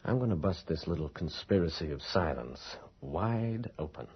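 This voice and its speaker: An actor playing an earnest, persistent investigator in a radio horror drama, educated and polite, with hesitant, articulate questioning. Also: male voice, low voice, lively intonation, normal speed, husky, full character voice. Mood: determined. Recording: dull lo-fi radio recording.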